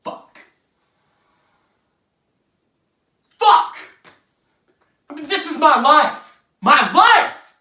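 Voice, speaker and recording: A man's voice: high voice, big pitch swings, full character voice. A man acting an anguished, volatile failed playwright, echoey room. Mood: anguished, self-loathing. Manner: profane, halting, swinging from muttering to shouting, addressing the audience. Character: anguished, bitter, self-pitying failed young male playwright. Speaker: student actor. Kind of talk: theatrical stage monologue. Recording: roomy, echoing room sound.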